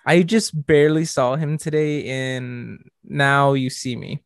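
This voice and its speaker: A young man co-hosting a podcast, teasing his friend with quick comebacks in casual chat. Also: mid-range voice, steady tone, creaky, no room sound, clean studio sound. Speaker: podcast co-host.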